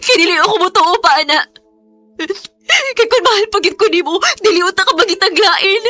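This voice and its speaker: An actress with a Visayan Filipino accent playing an emotional, trusting young wife working abroad in a radio drama. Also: female voice, very high voice, fairly quick, sharp tone, faint music behind. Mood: hurt, angry. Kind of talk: Visayan radio drama acting with first-person narration.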